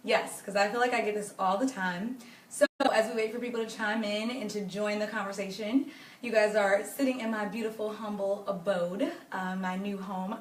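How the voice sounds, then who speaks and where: Raspy hoarse young woman talking faith and dating on a live stream, kinda far from the mic, echoey room. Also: mid-range voice, a bit bright. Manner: chatty, earnest, addresses viewers directly. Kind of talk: casual faith-based advice talk to viewers. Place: home living room.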